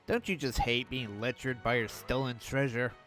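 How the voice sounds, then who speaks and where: A man hosting a video, riffing on cartoon clips with mocking, deadpan sarcasm. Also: medium pitch, moderate pace, loud music behind the voice. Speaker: video host. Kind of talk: sarcastic riff commentary.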